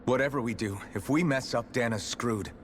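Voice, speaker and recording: A man's voice: medium pitch, moderate pace, slight background noise, natural voice. An actor playing a stubborn, protective young male hero in a video game cutscene, talking bluntly and defiantly. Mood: angry. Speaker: game character.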